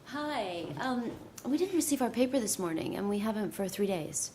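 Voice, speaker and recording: A woman's voice: medium pitch, expressive, moderate pace, dark tone, slight background noise, natural voice. A woman voicing an ordinary woman who asks puzzled questions in plain conversational speech. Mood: questioning. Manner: conversational, increasingly bewildered. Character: puzzled young woman shopper and office worker. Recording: crowd murmur behind.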